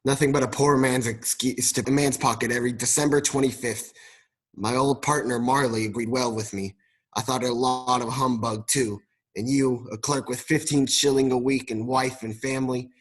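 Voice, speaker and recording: A man playing a grumpy, scornful old miser of a businessman in a radio play, with a curt, husky voice. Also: mid-range voice, steady tone, moderate pace, lightly acted, rough recording.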